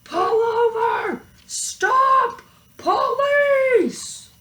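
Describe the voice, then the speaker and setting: A woman's voice: very high-pitched, extremely slow, a bit bright, voice pushed up, full character voice. A woman reading aloud and voicing a blustering male sheriff who hollers, in an echoey room. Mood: shouting.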